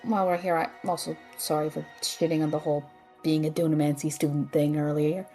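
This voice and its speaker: A woman's voice: low voice, little pitch movement, brisk, warm tone, soft background music, natural voice. A young woman playing a stressed, sarcastic student who is a loyal friend, in a naturalistic audio drama. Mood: apologetic, anxious. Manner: sarcastic, exasperated, swearing.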